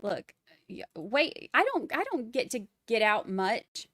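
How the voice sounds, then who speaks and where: A woman's voice: fairly high pitch, big pitch swings, slow pace, fairly bright tone, husky, dry recording, clean studio sound. A woman with a Boston accent hosting a live trial commentary stream, chatting wryly and reading out viewer comments. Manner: chatty, wry, rambling asides.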